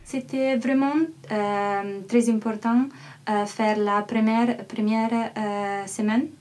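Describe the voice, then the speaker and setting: A woman in her twenties with an Italian accent, an exchange student speaking shyly with many pauses. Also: medium pitch, steady tone, darkish tone. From Italy. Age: 20s. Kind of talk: testimonial interview.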